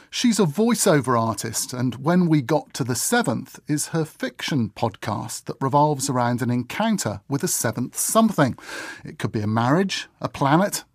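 A man's voice: medium pitch, dry recording, studio quality. A man reading a scripted introduction as a podcast presenter. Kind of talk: scripted narration.

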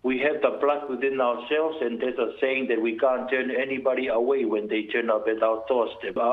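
A man giving an earnest interview in a halting, reflective way. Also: medium pitch. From Pacific Islands. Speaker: interviewee, community service worker. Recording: thin phone-line sound.

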